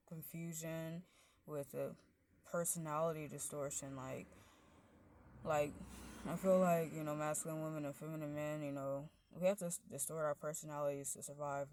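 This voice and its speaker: Woman, deep gravelly voice, rambling, filler words everywhere, wavering pitch and volume, dry recording. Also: dry recording. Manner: rambling, fills every phrase with "like" and "you know"; pitch and loudness wander up and down. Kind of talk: casual spoken monologue.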